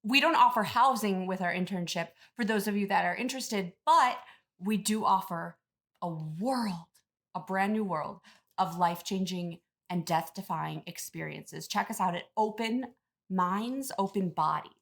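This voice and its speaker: A woman's voice: medium pitch, a little slow, vocal fry, a bit bright. A woman playing a relentlessly upbeat, evasive wellness influencer in a comedy interview, speaking in earnest slogans. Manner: earnest, breezy, slogan-spouting.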